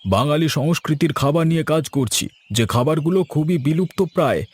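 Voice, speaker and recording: A man voicing a polite, earnest gentleman from the city in a cartoon story. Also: on the high side, expressive, brisk, husky. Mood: courteous, interested. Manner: courteous, earnest. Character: polite city gentleman who collects vanishing traditional foods. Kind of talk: Bengali cartoon story dubbing. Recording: music behind the voice.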